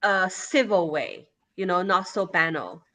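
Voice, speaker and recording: A woman with a Chinese accent, a native Chinese speaker, answering interview questions as a guest poet in a halting way, with many fillers and restarts. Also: fairly low pitch, fairly bright tone.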